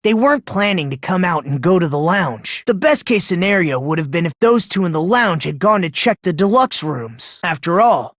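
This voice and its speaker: An actor playing an eerily polite, smug high school boy in an anime game dub, talking in a condescending, leisurely, theatrical way. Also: expressive, normal speed, hardly any pauses, a bit bright, dry recording, studio quality. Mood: calm accusing. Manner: condescending, leisurely, theatrical, rhetorical tag questions. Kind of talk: anime video game dub acting, deductive monologue.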